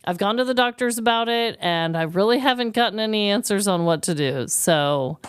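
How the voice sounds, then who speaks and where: Chatty podcast lady, smooth highish voice, super expressive, swears a lot, dry close sound. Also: fairly quick, no room sound. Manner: expressive, candid, swears freely. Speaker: podcast co-host. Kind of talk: casual conversational storytelling.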